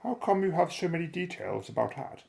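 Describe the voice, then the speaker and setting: Creaky-voiced, unflappable explorer played by a man. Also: a little high, very animated, fairly quick, darkish tone, full character voice. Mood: dry, decisive. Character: Alan, unflappable, methodical male explorer and airship pilot. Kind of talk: audio drama acting.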